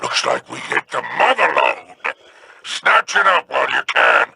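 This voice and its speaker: A man's voice: mid-range voice, huge pitch swings, measured pace, creaky, heavy background noise, full character voice. An actor playing a sly, scheming male alien trader who talks smugly. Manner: smug, wheedling.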